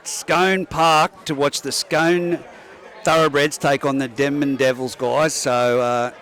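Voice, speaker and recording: Australian commentator, halting with ums. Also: male voice, on the high side, flat delivery, fairly bright tone, some chatter behind. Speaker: sports commentator. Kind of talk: post-match commentary chat.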